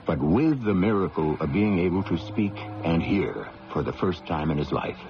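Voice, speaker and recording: A man hosting a radio mystery series, narrating scripted suspense in a theatrical, measured way, directly to the listener. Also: low voice, lively intonation, noisy background. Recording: muffled old radio broadcast. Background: loud music.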